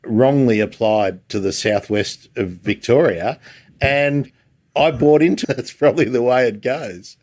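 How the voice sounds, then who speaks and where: Casting: Australian male interviewer, average pitch, informal and curious, wanders through questions and pokes fun at himself. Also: measured pace, darkish tone. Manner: inquisitive, rambling, self-deprecating. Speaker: livestream host and interviewer. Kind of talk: casual interview chat.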